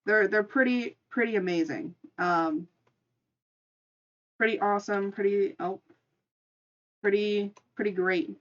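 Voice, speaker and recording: A woman's voice: medium pitch, fairly even intonation, measured pace, long pauses, highs cut off. A woman streamer casually telling an improvised story and chatting with her live audience in an informal, chatty way. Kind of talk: casual livestream storytelling and chat.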